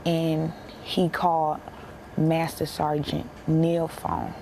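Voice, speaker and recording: Low, even-voiced young American woman, halting. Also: creaky, slight background noise, low-quality recording. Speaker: interviewee, bereaved military widow. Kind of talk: emotional personal account in a broadcast interview.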